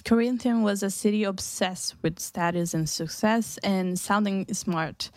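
A woman's voice: mid-range voice, dry recording. Brazilian-accented woman, radio host, casual faith chat, laughs a lot, dry close sound. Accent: Brazilian Portuguese. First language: Portuguese. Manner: laughs often, halting with restarts.

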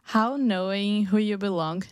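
A woman's voice: mid-range voice, measured pace, clear, resonant tone, no echo, studio quality. A woman from Brazil with a Brazilian Portuguese accent, hosting a casual faith radio chat, often laughing and restarting her sentences. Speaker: radio show host.

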